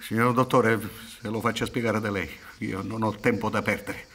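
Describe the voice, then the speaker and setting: A middle-aged man acting in a radio drama as an aggrieved, proud peasant son, deferential yet impassioned, with a husky voice. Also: lowish voice, fairly even intonation, moderate pace, a bit bright, a little hiss or hum. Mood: agitated. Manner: deferential, then impassioned and indignant. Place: theatre stage set up for radio recording. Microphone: stand microphone with headphones.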